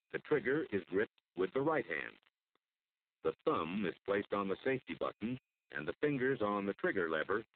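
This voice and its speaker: An American man delivers formal, measured scripted narration for an instructional military training film. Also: lowish voice. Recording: muffled phone-like old film soundtrack.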